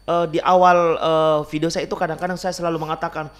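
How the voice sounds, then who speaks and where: A man from South Sulawesi with a Makassarese accent, chatting casually as a podcast guest in an animated, punchy way. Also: a little high, quick pace. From Bulukumba, South Sulawesi, Indonesia. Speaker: guest content creator.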